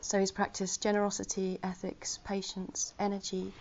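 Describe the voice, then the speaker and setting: A woman of middle pitch gives an informal talk to her Buddhist community. She is chatty and earnest, with flashes of self-deprecating humour. The recording sounds slightly muffled. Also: completely flat delivery, moderate pace, darkish tone, slight background noise. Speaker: Buddhist order member giving a talk. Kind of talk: informal Dharma talk to a gathering.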